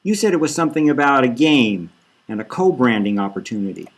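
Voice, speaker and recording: Calm probing bull-man doctor, questioning manner, lively pitch, a man putting him on. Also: fairly quick.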